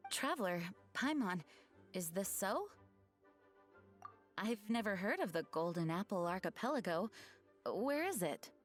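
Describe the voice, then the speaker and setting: A woman playing a serious, dutiful woman commander in a video game, formal, measured and polite. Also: medium pitch, very animated, faint music behind. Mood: curious, formal. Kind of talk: English video game voice acting.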